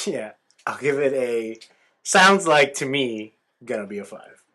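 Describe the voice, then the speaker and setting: A man's voice: medium pitch, animated, takes it slowly, sharp tone. A man co-hosting a casual podcast, chiming in with short, laid-back replies and questions. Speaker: podcast co-host. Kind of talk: casual chat.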